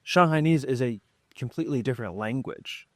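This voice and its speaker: Relaxed man giving learning advice. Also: mid-range voice, a little fast, dry recording, clean studio sound. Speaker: podcast host. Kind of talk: casual conversation.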